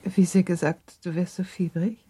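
An actress playing an anxious, gentle middle-aged mother in a radio drama, measured and questioning. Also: lowish voice, flat delivery, breathy edge, dry recording. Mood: gentle concern. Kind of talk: German radio drama acting.

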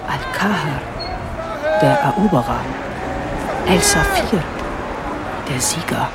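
A woman's voice: deep voice, wildly swooping pitch, slow pace, over a noisy crowd, heavy background noise, exaggerated voice. An actress playing an observant young German woman narrating her thoughts in a radio play. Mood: observant, intense.

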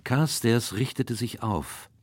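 A man playing a detective's observant friend, narrating a German audio drama in a measured, reflective manner. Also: medium pitch, slightly rough. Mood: wry reflection.

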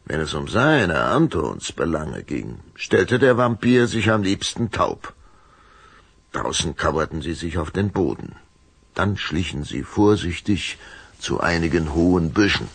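Male narrator, children's radio drama: very animated intonation, unhurried, suspenseful, husky tone. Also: sits low, dry recording. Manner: suspenseful, expressive. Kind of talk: dramatic scripted storytelling for a children's radio play.

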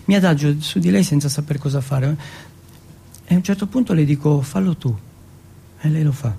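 A man's voice: a little high, fairly quick, vocal fry, dark tone, husky. A man from performing an autobiographical monologue in a colloquial, streetwise manner. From Turin, Italy.

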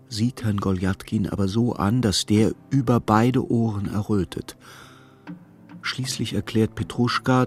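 A man narrating a literary radio drama as a measured, detached storyteller with dry irony. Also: fairly low pitch, lightly acted.

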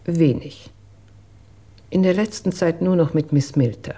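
A reserved household servant in a radio play gives measured testimony. Her voice is mellow and husky, and she chooses her words with care. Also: low voice. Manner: measured, careful recounting. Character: reserved, dutiful female household employee giving testimony. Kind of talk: German radio drama acting.

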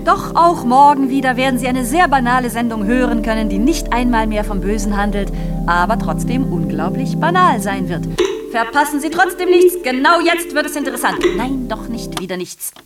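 An actress playing a sardonic messenger and broadcaster of grim past events in a radio drama, mocking and theatrical, sometimes confiding. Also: female voice, on the high side, lively intonation, moderate pace, over loud music, lightly acted. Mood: mock-cheerful, insistent.

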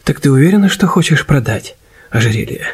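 An actor playing a courteous, gentle man of nearly sixty in a radio play, speaking softly and carefully. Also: mid-range voice, animated, fairly quick, husky. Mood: careful, kind. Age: older. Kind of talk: Russian radio play acting. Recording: loud music under studio voice.

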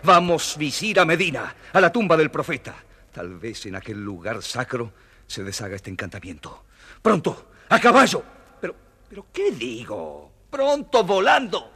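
An actor playing a proud, bold caliph turned into a stork in a children's audio storybook, theatrical and commanding. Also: male voice, fairly high pitch, sing-song, normal speed, sharp tone, slightly rough, exaggerated voice. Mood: rousing, resolute.

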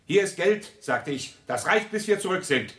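A man's voice: high voice, expressive, normal speed, fairly bright tone, reverberant. A man playing a stern, patronising master of a household in a radio play, giving clipped orders. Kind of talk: 1960s radio play acting.

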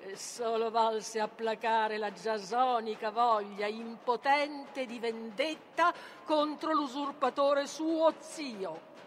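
A woman's voice: fairly high pitch, takes it slowly, slight background noise. A woman playing a tormented sorceress bent on annihilation, declaiming a theatrical tragic monologue in a volatile manner. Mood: scornful. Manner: declamatory, volatile, swings from whispers to cries.

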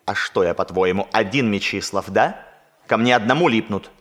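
An actor playing a young, self-mocking student musician in a theatrical, expressive 1960s radio comedy style. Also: male voice, on the low side, moderate pace, close to an everyday voice. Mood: indignant, self-mocking.

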